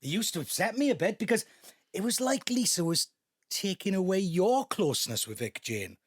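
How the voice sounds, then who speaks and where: A man's voice: high voice, sing-song, normal speed, husky, exaggerated voice. A man playing an angry, insistent adult son confronting his family in an emotional audio drama. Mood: brooding, hurt. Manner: confrontational, insistent, repeats demands.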